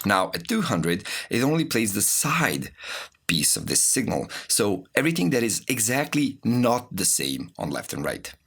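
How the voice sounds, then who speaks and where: A man giving an informal, explanatory music production tutorial, walking through software as an instructor. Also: on the low side, sing-song, normal speed, husky. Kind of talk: spoken tutorial with software demonstrations.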